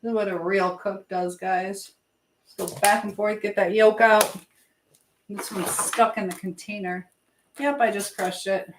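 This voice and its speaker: A woman's voice: medium pitch, steady tone, measured pace. Female livestream host, mid-range voice, informal and conversational while cooking, roomy echo and faint noise. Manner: chatty, reads viewer messages aloud and questions them. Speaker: live stream host giving advice. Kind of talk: casual live chat while baking. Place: indoors in a kitchen. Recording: echoey room with background noise.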